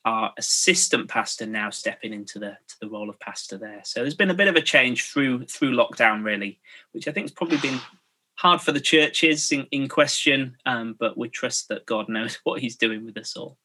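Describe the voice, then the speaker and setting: A pastor speaks in a bright, lively voice. He answers the interviewer's questions modestly and pokes fun at himself. He often hesitates with ums and restarts. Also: mid-range voice, normal speed. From North Yorkshire, England. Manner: modest, self-deprecating, many fillers. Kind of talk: casual interview chat.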